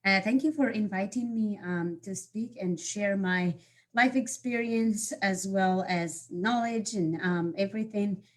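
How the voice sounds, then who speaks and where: A woman, telling her life story as a guest speaker on a video call, pausing often with fillers and restarts. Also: mid-range voice. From Morocco. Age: 30s. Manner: halting, many fillers and restarts. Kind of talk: personal life story talk.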